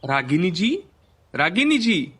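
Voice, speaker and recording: Man acting a humble, earnest, higher-voiced man. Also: sing-song, slow pace, fairly bright tone, breathy edge, heavily acted. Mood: apologetic, grateful. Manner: earnest, pleading, polite. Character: humble, earnest adult man in a moral tale. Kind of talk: Hindi moral-story voice acting.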